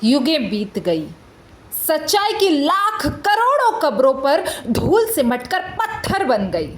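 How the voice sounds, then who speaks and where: High-pitched, impassioned woman lawyer, acted. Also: big pitch swings, heavily acted. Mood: impassioned, indignant. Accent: Indian. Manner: rhetorical, deliberate, with dramatic pauses and emphatic questions. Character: impassioned, indignant female prosecuting lawyer addressing a judge. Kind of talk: theatrical courtroom monologue for an audition.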